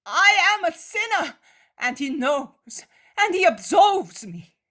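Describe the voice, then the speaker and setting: An anguished, guilt-torn man cries out in a high, swooping voice, ranging wildly as he pleads and accuses, as a woman reads him aloud. He speaks slowly, leaning hard on each word. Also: big pitch swings, heavily acted. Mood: despairing. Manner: impassioned, shouting.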